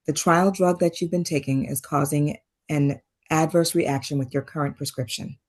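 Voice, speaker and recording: A woman playing a composed, apologetic doctor in a dramatic read, measured and clinical, in a roomy recording. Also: deep voice, steady tone, a little mellow, natural voice. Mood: careful, clinical. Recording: roomy, echoing remote table-read audio.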